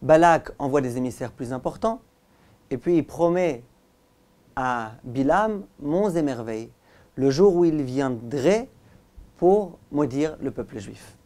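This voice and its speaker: A male rabbi giving a religious lesson as a story, with emphatic, deliberate phrasing. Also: mid-range voice, animated, mellow. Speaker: rabbi, teacher.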